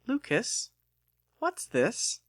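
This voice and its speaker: A man's voice: extremely high pitch, huge pitch swings, extremely slow, fairly bright tone, no room sound, studio quality, pitched above the performer's own voice, full character voice. A man voicing an affectionate, bossy young woman with emphatic, emotional delivery in a dramatic audiobook. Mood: delighted.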